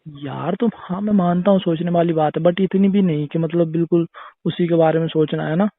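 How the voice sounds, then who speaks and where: A young man with a slightly high voice talks casually over a thin, phone-like line. He sounds like a boyfriend in a private chat, insistently questioning and adding tag questions. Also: fairly even intonation, fairly quick, dry recording. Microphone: phone call. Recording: narrow-band tinny phone call.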